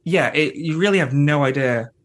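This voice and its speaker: A man's voice: on the high side, moderate pace. A man talking analytically and casually as a podcast panelist, often breaking off into asides. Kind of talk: casual episode discussion.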